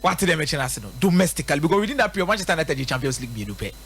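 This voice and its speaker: A man's voice: fairly high pitch, sing-song, very fast. A man with a Ghanaian accent giving emphatic football analysis on live radio, repeating his points rhetorically for effect. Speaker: radio sports pundit. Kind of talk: live football commentary and analysis, mixing local language and English.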